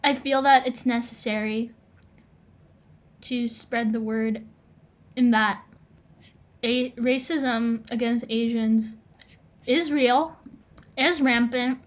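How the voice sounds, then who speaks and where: A young American woman co-hosting a casual podcast, talking earnestly and thoughtfully, restarting her sentences as she goes. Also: on the high side. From United States. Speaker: podcast co-host.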